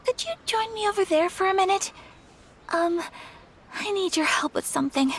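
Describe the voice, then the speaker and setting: An actress playing a gentle, polite young noblewoman in an anime-style video game, courteous and a little hesitant. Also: very high voice, animated, normal speed, slight background noise. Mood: flustered, apologetic. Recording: faint game music behind.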